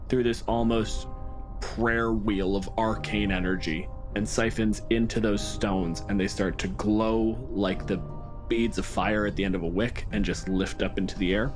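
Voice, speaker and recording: Low-voiced male game master over music. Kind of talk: improvised scene narration for a tabletop game. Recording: music behind the voice. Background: background music.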